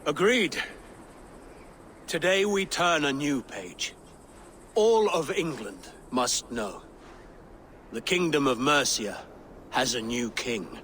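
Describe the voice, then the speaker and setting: An actor playing a grateful, weary middle-aged king and father in a game cutscene, measured, solemn and formal. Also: on the high side, lively intonation, a bit bright, a little hiss or hum. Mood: solemn, resolute. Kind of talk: video game cutscene acting.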